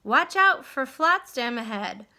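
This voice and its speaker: Female reader as excitable male boat owner, storybook. Bossy shouted commands, raised pitch, forceful and urgent. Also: slow, heavily acted. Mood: impatient shouting.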